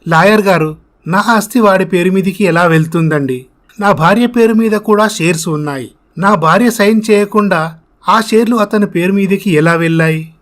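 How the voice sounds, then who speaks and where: A man's voice: high voice, normal speed, fairly bright tone. A man voicing a worried, humbled older family patriarch in a story, earnest and respectful.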